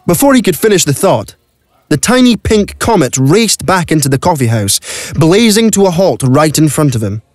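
A man's voice: on the high side, big pitch swings, normal speed, slight background noise. A man narrating a scripted audio play as a dramatic storyteller. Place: dry recording studio.

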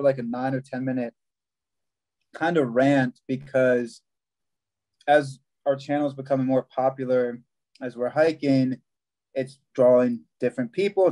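A man's voice: mid-range voice, darkish tone. A young man, a long-distance hiker, chatting over a video call from a small room, often restarting his sentences and laughing easily. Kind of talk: casual video call chat.

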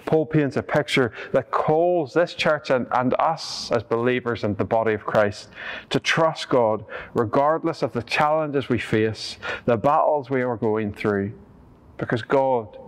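A Northern Irish man in his thirties gives a sermon inside a church. His delivery is earnest and steady, with the occasional filler between phrases. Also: medium pitch, unhurried. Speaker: preacher. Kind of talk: sermon reflection. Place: church interior, standing before the altar.

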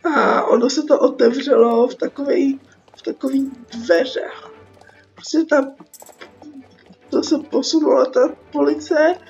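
A woman's voice: high voice, gravelly, a little mellow. A young woman with a Czech accent and a cold, casually commenting on a game in a rambling, slangy, sarcastic way as host. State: sick with a cold. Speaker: gaming video host. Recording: dull lo-fi voice track over music. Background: game music.

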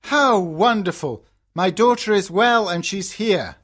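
A man's voice: high voice, unhurried, fairly bright tone. A man voicing a loving, fatherly old man in a storybook reading.

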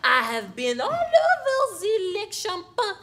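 Smug, flighty French Canadian lady expert, theatrical, very slow delivery with big melodic swings, comic stage radio. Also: very high voice, wildly swooping pitch, a bit bright, exaggerated voice. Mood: excited. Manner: theatrical, sprinkles French, tags phrases with "eh". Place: theater stage with live audience.

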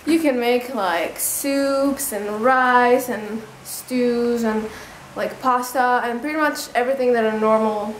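Young woman chatting slowly, swearing casually. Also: on the high side, reverberant, a little hiss or hum, rough recording. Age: 20s. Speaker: travel vlogger. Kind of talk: casual vlog with room tour. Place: small rented room in a residence. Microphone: handheld camera at arm's length.

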